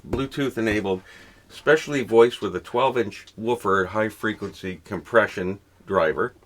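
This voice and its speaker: Casual gear reviewer, male, lower-pitched, hesitant and unscripted, with some noise behind him. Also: fairly bright tone. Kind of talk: casual unboxing and gear demo. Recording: clearly noisy room recording.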